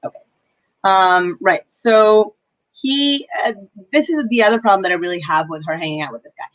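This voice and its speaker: A young woman giving casual, opinionated commentary on a livestream, breaking off to answer her chat with quick apologies. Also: mid-range voice, low-quality recording. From Pakistani heritage. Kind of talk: casual live-stream commentary reading chat.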